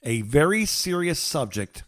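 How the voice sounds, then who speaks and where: A middle-aged American man co-hosting a podcast in casual chat, halting and rambling, often repeating words and trailing off mid-thought. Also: a little high, big pitch swings. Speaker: podcast co-host. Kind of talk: casual phone-call chat.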